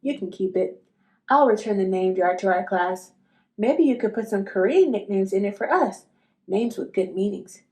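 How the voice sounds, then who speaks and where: A woman's voice: medium pitch, expressive, normal speed, warm tone, roomy sound, lightly acted. A woman voicing an earnest, friendly schoolboy with a shy streak in a picture book.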